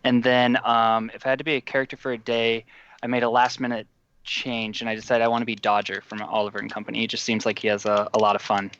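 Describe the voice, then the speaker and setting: A man's voice: medium pitch, little pitch movement, quick pace, a bit bright, clean tone, muffled recording. A man joining a trivia podcast as a contestant, upbeat and joking. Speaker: quiz contestant. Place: Boston.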